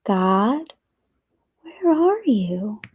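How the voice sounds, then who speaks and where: A woman voicing a curious young girl in a storybook, asking earnest questions in a hushed, wondering way. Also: high-pitched, very animated, very slow, dry recording, phone-quality sound, pitched above the performer's own voice, heavily acted. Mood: hushed, searching.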